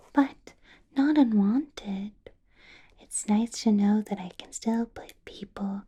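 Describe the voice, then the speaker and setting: Ancient vampire boss, female, acted by a woman: soft mellow whisper, unhurried and deliberate, falsely pleasant with menace underneath, intimate ASMR roleplay. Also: mid-range voice, full character voice. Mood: smooth, predatory. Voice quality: breathy whisper. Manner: slow, deliberate, teasing, with lingering pauses.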